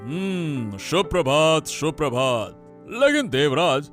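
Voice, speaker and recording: Man acting a slow, stately cartoon king. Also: fairly high pitch, animated, fairly bright tone, faint music behind. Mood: welcoming, curious. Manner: stately, probing, repeats himself. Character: jovial, shrewd king questioning his courtier. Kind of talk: Hindi cartoon moral-story dubbing.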